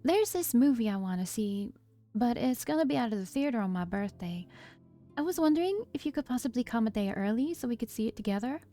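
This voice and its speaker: A woman's voice: fairly high pitch, expressive, normal speed, few pauses, dark tone, soft background music, close to an everyday voice. A woman voicing a sweet, hesitant, earnest young girl in a story reading. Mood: timid, hopeful.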